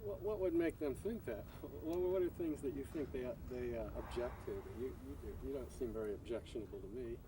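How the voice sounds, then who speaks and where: Distant male interviewer over clear noise. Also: mid-range voice, brisk, few pauses. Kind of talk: conversational documentary questioning. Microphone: away from the camera microphone. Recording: distant off-mic voice, noisy field video.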